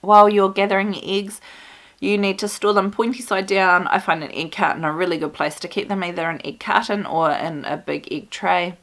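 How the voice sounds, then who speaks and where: A woman with a New Zealand accent and a croaky voice, chatting through a homestead how-to with frequent ums. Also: medium pitch, fairly even intonation, a little fast, a bit bright. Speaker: homestead video host. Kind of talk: casual tutorial. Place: small music studio indoors.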